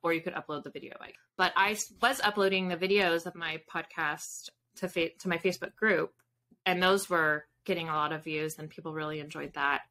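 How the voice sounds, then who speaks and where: A woman's voice: fairly low pitch, fairly even intonation, fairly quick, sharp tone, slight background noise. A woman in her thirties chatting casually as an interview guest, informal and prone to wandering off and rephrasing. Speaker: author and podcast guest. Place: indoor room with bookshelves, on a video call.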